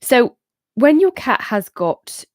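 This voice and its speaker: A woman, congested and a bit muffled, talking casually to viewers on a live stream from a bright room at home into a studio mic. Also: a little high, sing-song, slow pace, no echo. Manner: chatty, gesturing, rambling with restarts. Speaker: host of a cat energy channel. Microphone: studio microphone on arm in front of her.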